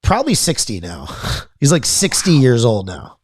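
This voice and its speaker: A man's voice: mid-range voice, huge pitch swings, normal speed, a little mellow, husky, no echo. A man from Florida with a Florida accent, chatting casually as a podcast host and rambling with frequent repeats and restarts. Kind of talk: casual chat.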